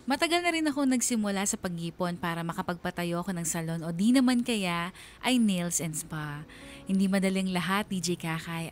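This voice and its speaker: Woman acting low-voiced, reflective daughter narrator. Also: slight background noise, lightly acted. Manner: reflective, earnest. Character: earnest, dutiful young nurse daughter narrating her story. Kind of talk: first-person radio drama narration.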